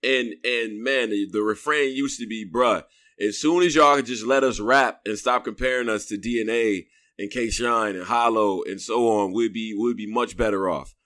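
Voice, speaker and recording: A man's voice: lowish voice, moderate pace, a bit bright, no echo. Slangy male host, dry sound. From born in Houston, raised in Rochester, New York. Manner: loose, slangy, rambling questions. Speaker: interview host. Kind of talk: casual interview chat.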